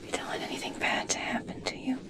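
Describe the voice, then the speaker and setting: A woman playing a gentle, obsessed scientist fascinated by merpeople in a whispered ASMR roleplay, speaking softly, slowly and soothingly. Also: natural voice. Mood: soothing, attentive. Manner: soft, soothing, slow, coaxing.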